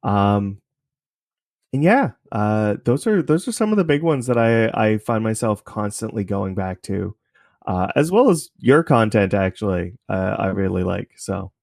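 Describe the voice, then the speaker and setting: A man talking casually as an interview guest, halting often as he explains things. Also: lowish voice, expressive, gravelly, a little mellow, no echo, clean studio sound. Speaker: interview guest, podcast creator.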